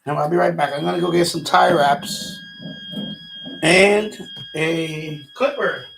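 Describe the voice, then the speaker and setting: Gravelly, high-pitched man rambling on livestream. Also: slow pace, fairly bright tone, slight background noise, low-quality recording. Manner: muttering, repeats himself, talks to himself while tinkering. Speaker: live streamer, radio hobbyist. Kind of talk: casual rambling livestream chat.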